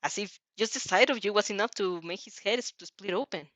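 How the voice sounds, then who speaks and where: A woman's voice: mid-range voice, fairly quick, a bit bright, dry recording. A woman narrating a second-person story aloud in an emotive, theatrical way. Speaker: narrator reading fan fiction aloud. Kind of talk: dramatic second-person story reading with reactions.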